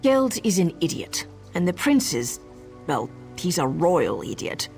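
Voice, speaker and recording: A woman's voice: lowish voice, sing-song, measured pace, darkish tone, soft background music. A woman playing a sly, teasing assassin disguised as a servant in a video game. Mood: sly scheming. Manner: sardonic, playful, conspiratorial. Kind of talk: video game character acting.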